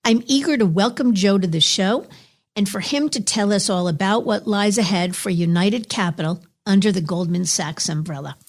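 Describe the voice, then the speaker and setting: A woman's voice: mid-range voice, moderate pace. A woman hosting an interview podcast, reading a scripted intro and asking polished questions. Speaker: podcast host.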